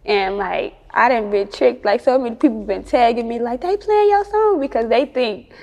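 A woman's voice: fairly high pitch, animated, moderate pace, darkish tone, long reverb tail. A woman with a Chicago accent chatting casually in an interview as a rapper, with slangy, sweary talk. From Chicago, United States.

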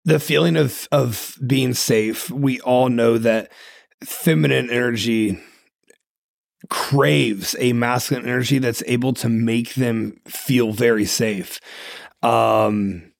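Deep gravelly man giving blunt advice. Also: steady tone, takes it slowly, dry recording, clean studio sound. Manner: emphatic, coaching tone. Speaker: podcast host. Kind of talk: casual podcast chat.

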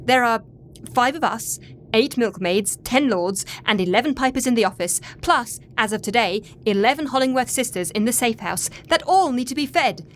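A woman's voice: a little high, lively intonation, moderate pace, a little hiss or hum, close to an everyday voice. A woman playing an earnest, tactful and practical team member in a comedy audio drama. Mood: worried, practical.

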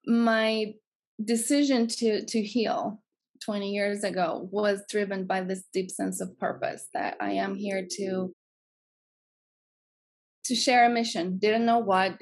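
Greek-accented woman around forty, podcast guest, earnest and reflective, mid-pitched voice. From Greece. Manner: earnest, reflective, repeats phrases for emphasis. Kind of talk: personal storytelling in an interview.

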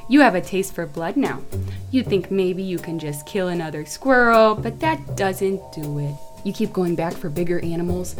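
A woman's voice: lowish voice, a little mellow, lightly acted. An actress playing a polite, earnest townswoman telling stories in a comedy audio drama. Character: sweet polite townswoman with a morbid imagination.

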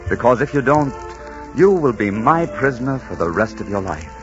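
An actor playing a dashing, suave gentleman highwayman in a 1940s radio romance, with courtly, ardent delivery. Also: big pitch swings, moderate pace, natural voice. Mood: bold, ardent. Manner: suave, courtly, murmuring sweet declarations. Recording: dull 1940s radio recording with music.

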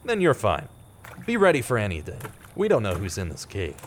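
A man's voice: fairly low pitch, wildly swooping pitch, a little fast, slight background noise. An actor playing a guarded but compassionate man on the run in a radio drama, earnest and cautious. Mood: urgent. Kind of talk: audio drama acting with first-person narration.

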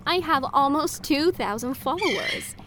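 A woman's voice: sits high, lively intonation, measured pace, background noise. A woman playing a melodramatic, sweetly entitled customer with a theatrical, gushing manner in an audio drama.

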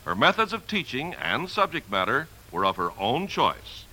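A man's voice: medium pitch, very animated, moderate pace, bright, forceful tone, low-quality recording. A man delivering formal, polished scripted narration as a 1950s radio announcer, with emphatic phrasing.

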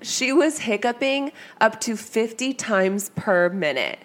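A woman's voice: a little high, lively intonation, slow pace. A woman hosting a casual true-crime podcast. She sounds congested from a cold and tired, and she talks in a chatty, hesitant way full of fillers. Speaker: podcast host.

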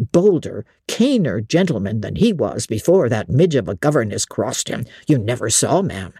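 A woman doing a gruff old country servant. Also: extremely low pitch, warm tone, no room sound, studio quality, voice pushed down, heavily acted. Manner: dramatic country storyteller, old-fashioned dialect. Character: old rustic male servant, gossipy and grim. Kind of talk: dramatic audiobook reading.

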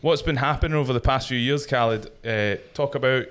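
A man's voice: medium pitch, brisk, a bit bright, clean tone. A man hosting a podcast interview, talking in a loose, chatty way with fillers and restarts. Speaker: podcast host. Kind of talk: casual interview chat.

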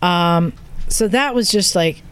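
A woman's voice: fairly low pitch, slow pace, a little hiss or hum. A woman speaking as a podcast host, recounting a trip in a casual, enthusiastic storytelling way.

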